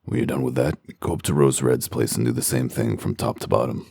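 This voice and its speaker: A man voicing a gruff, sardonic werewolf detective in a comic dub, with a growling, commanding delivery. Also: fairly quick, warm tone, no room sound, exaggerated voice. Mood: curt, weary. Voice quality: very deep, gravelly growl. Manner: dry, sarcastic, commanding.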